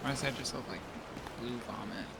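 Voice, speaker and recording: A man's voice: mid-range voice, a little fast, gravelly, heavy background noise. A man giving casual, offhand commentary while playing a game. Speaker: gamer.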